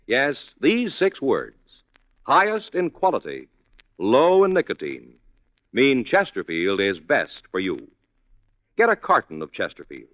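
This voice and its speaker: American male announcer, very animated pitch, emphatic sales read, dry dull vintage radio sound. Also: dry recording. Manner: emphatic, measured salesman delivery. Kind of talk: 1950s cigarette ad read.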